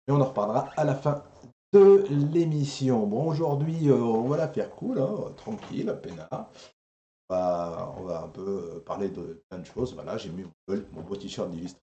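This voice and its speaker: A male live-stream host chats in a loose, informal style with a joking streak. His phrasing is halting and conversational, and the sound is noticeably roomy and echoing. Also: lowish voice, lively intonation, mellow, dull audio. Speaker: host of an online anime and geek talk show. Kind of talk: casual live-stream chat.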